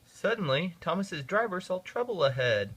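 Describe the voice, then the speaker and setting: A man reading a children's storybook aloud to his kids. His delivery is expressive and sing-song, with a fairly high voice. The pitch rises and falls a lot. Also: moderate pace, breathy edge.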